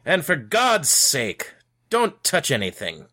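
A man's voice: a little high, huge pitch swings, slow pace, bright, forceful tone, pitched above the performer's own voice, exaggerated voice. A man voicing a grumpy, dismissive old male wizard shopkeeper who grumbles his lines. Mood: irritated. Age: older. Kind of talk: audiobook character voice.

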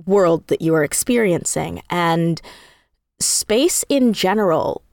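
A woman speaking casually as an interview guest, telling things in an animated, emphatic, self-deprecating way. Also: on the low side, slow, gravelly, no echo, studio quality. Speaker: interview guest, audio drama writer.

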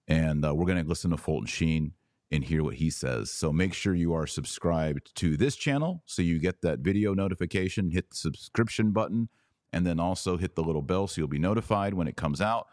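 A man's voice: low voice, a little mellow, no room sound, studio quality. A man hosting a podcast, chatting casually with an informal, enthusiastic manner. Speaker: podcast host. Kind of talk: casual chat.

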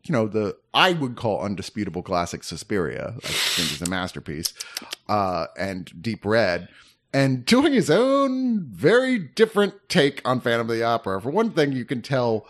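A man's voice: medium pitch, sing-song, moderate pace. A man co-hosting a film podcast in casual review chat, opinionated and rambling, swearing freely.

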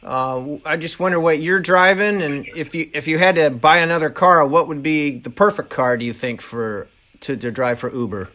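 Curious male co-host; bright, raised voice; tentative questioning. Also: a little high, moderate pace. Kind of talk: conversational interviewing.

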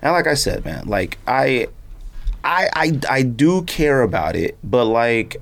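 Animated man with swinging pitch, rambling emphatically. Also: a little slow, creaky. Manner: rambling, emphatic, repeats himself for stress. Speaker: sneaker podcast host. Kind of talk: casual opinionated podcast chat. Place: podcast studio.

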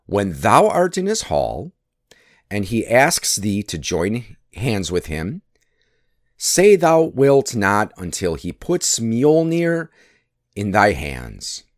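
A man's voice: lowish voice, huge pitch swings, takes it slowly, no echo, clean studio sound, heavily acted. A man voicing a cunning, mocking trickster god from a myth, teasing and coaxing as he schemes. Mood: sly persuasion. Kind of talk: dramatic myth storytelling.

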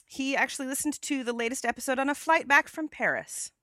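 Bright-voiced chatty woman podcaster. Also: high-pitched, fast, no echo, clean studio sound. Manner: chatty, laughs easily, reads listener mail aloud. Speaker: podcast co-host. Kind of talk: casual chat.

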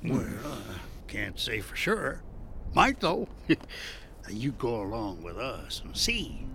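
Wildly animated folksy Western prospector. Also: male voice, medium pitch, takes it slowly, vocal fry, a little hiss or hum, exaggerated voice. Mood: teasing, jovial. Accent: American Western. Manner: drawling, chuckling, rustic. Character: folksy good-natured male prospector of the Old West. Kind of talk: radio drama acting.

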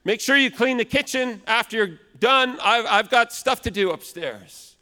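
A man in his thirties preaching a sermon in a loud, big voice, now and then acting out complaining customers. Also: very high-pitched, normal speed. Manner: acts out complaining customers in raised voice. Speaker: pastor. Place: large church hall. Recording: long hall reverb.

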